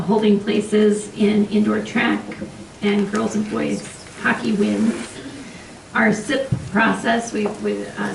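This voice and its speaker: A woman with a squeaky voice giving a superintendent's report at a board meeting, clearing her throat and coughing often. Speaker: school superintendent. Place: meeting room. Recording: echoey room from far off, noisy.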